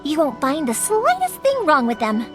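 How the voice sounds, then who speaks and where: A woman's voice: sits high, wildly swooping pitch, normal speed. A woman playing a cheerful, talkative young fireworks maker in an anime-style video game, rambling along enthusiastically. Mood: friendly, reassuring. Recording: music under the dialogue. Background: music.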